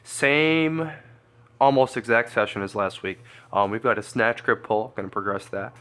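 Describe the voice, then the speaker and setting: A congested man, a strength coach, chatting casually through a training vlog and thinking out loud. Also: on the low side, normal speed. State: congested, stuffy nose.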